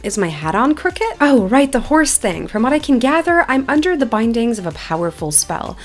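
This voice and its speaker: A woman puts on a vain video game witch stuck in the body of a horse. The voice is high, theatrical and peevish, with music underneath. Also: sing-song, normal speed. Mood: haughty, indignant.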